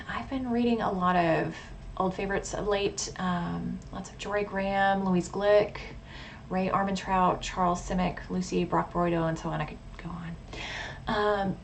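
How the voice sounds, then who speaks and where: A woman talking casually and reflectively to viewers about her hobbies, thoughtful and given to correcting herself and adding asides. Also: fairly low pitch. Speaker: video blogger. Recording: clear background noise.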